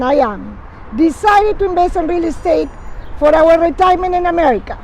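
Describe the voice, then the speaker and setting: Older woman, Peruvian accent, high-pitched press conference speaker, recorded outdoors with faint traffic behind. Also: poor audio. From Peru. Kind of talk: prepared public testimony.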